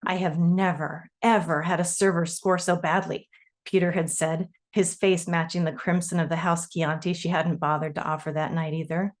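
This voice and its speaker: Lower-pitched woman reading aloud. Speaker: author reading at a literary festival. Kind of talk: reading own novel aloud, with informal introduction.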